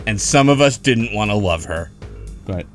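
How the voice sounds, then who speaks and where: A man's voice: lowish voice, lively intonation, moderate pace, soft background music. A man hosting a casual group discussion, talking in a rambling, hesitant way with lots of fillers. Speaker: podcast host.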